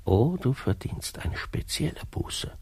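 Husky whispering sly priest. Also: male voice, mid-range voice, sing-song, takes it slowly, no echo, heavily acted. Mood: soothing, insinuating. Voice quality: husky, breathy. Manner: hushed confessional whispering, pious and conspiratorial. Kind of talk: satirical radio play acting.

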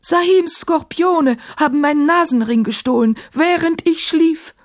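A touchy blue djinn from a storybook, acted by a woman, pleads his case in a markedly raised pitch. He is florid, courtly and plaintive, with a defiant streak. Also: a little slow. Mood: plaintive, hurt. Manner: plaintive, ornate.

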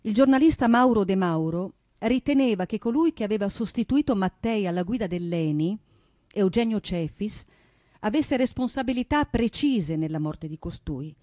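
Mellow woman narrator, close and dry. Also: medium pitch, animated, moderate pace, no echo. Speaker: stage narrator and performer. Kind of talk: theatrical scripted narration with music.

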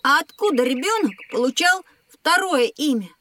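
A woman's voice: high voice, animated, normal speed, pushed and bright, dry recording. A woman playing a cheeky, curious young boy with blunt, eager questions in a scripted children's audio drama. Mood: cheeky, boastful.

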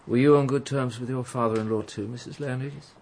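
An actor playing a shrewd middle-aged police inspector in a radio drama, with a dry, teasing, commanding way of talking. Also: male voice, mid-range voice, steady tone, fast talker, gravelly, a little mellow, slight background noise, natural voice. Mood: probing. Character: shrewd, wry older male police inspector.

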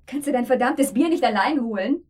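An actress playing a feisty, protective young woman with a husky voice in a naturalistic radio drama. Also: fairly high pitch, lively intonation, a little fast, darkish tone. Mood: snappy, defiant.

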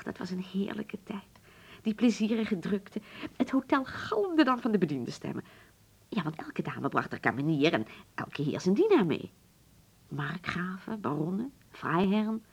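An actress playing a nostalgic, genteel middle-aged hotel proprietress in a Dutch radio drama, speaking formally and with stately dramatic pauses. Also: female voice, lowish voice, creaky, a little mellow, breathy edge, heavily acted. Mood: fondly nostalgic.

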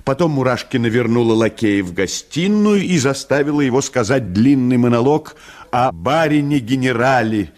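A man reading a classic short story as a dramatised, expressive literary narration with an ironic touch. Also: medium pitch, moderate pace.

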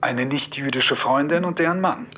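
A man's voice: fairly high pitch, moderate pace, close to an everyday voice. A man playing a frank, businesslike estate adviser in a radio drama, matter-of-fact and formal. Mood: frank, concerned. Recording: muffled, band-limited, faint noise.

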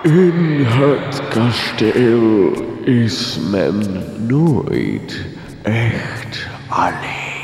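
An actor playing a strange, sinister old lord of a lonely castle in a gothic horror comedy radio play, speaking in drawn-out, ominous, terse phrases. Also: male voice, medium pitch, big pitch swings, darkish tone, big-room sound, soft background music, exaggerated voice. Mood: cryptic, curt.

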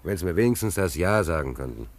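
Deep-voiced, rambling homeless angler. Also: male voice, expressive, fast talker. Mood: chatty, wry. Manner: rambling, colloquial, repeats himself. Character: talkative hungry homeless male angler, soft-hearted and wry. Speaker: radio play actor. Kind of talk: German radio play acting.

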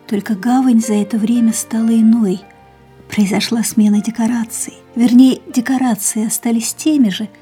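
A doomed, defiant young woman in a radio play. Her voice is high and soft-toned, theatrical and wistful, over a light bed of music. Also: slightly rough. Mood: resigned clarity. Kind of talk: radio drama acting, inner monologue and dialogue.

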